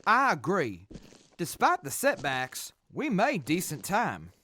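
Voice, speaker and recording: A man playing an earnest, level-headed soldier in a post-apocalyptic audio drama, measured and sincere. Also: high-pitched, wildly swooping pitch, bright, forceful tone, a little hiss or hum, exaggerated voice. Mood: reserved.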